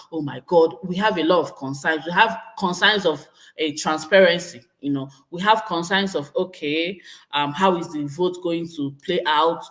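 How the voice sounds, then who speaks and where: A woman in her thirties from Nigeria with a Nigerian accent, chatting to her viewers and reading their comments, laughing often and repeating her exclamations. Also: on the low side. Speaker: vlogger.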